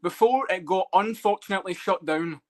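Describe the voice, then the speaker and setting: A man's voice: sits high, brisk, over a noisy crowd. A young Scottish man chatting casually as a stream guest, swearing freely and teasing. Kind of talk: casual chat.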